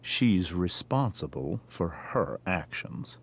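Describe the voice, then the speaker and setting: An actor playing a pompous middle-aged American district attorney and father, speaking in measured, formal sentences in a video game drama. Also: low voice, big pitch swings, breathy edge, heavily acted. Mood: probing, guarded.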